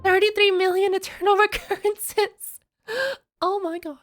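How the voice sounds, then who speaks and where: Casting: female game streamer, extremely high voice, conversational, theorizing and reading aloud as she reacts. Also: level tone, a little slow, faint music behind. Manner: thinking out loud, conversational.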